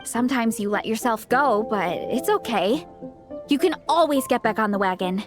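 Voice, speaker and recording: A high, bright-spirited young heroine fan acted by a woman for a video game. She is earnest, encouraging and emphatic, with music clearly playing behind her. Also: animated, normal speed, close to an everyday voice.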